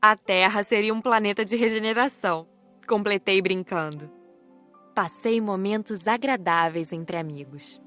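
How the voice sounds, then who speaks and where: A woman's voice: mid-range voice, normal speed, fairly bright tone, soft background music. A woman reading scripted first-person narration as an audiobook narrator.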